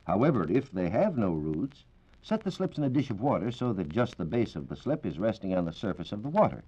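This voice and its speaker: An American man explaining science in a measured, precise, formal way as a reporter on a 1940s radio program. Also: fairly low pitch, big pitch swings, mellow, breathy edge. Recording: dull 1940s radio recording.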